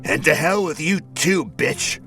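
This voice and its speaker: A man's voice: on the high side, animated, a little slow, faint music behind, pitched above the performer's own voice, heavily acted. A man voicing a shambling, drunken male neighbour who pleads and rages in an audio horror story. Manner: slurred, shouting when angry.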